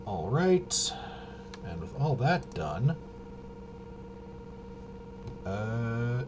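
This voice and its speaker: A man casually talking himself through a tabletop game walkthrough, halting and unscripted, muttering as he thinks. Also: medium pitch, big pitch swings, lo-fi sound, background noise. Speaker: host of a tabletop roleplaying video.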